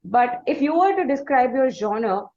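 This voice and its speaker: A woman with an Indian accent hosting a music interview, asking long, halting questions that she rephrases as she goes. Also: high voice, sing-song, darkish tone, muffled recording. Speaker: interviewer, podcast host.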